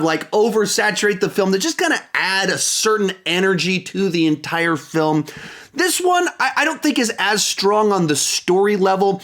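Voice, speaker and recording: A man's voice: high-pitched, fairly bright tone. An American man in his thirties hosting a movie review, talking conversationally and stressing titles and key words. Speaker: video host, film reviewer. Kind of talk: ranked movie review commentary to camera.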